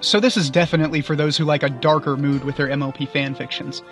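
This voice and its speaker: Higher-pitched man reviewing over music. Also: steady tone, fast talker. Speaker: guest reviewer. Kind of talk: scripted review commentary.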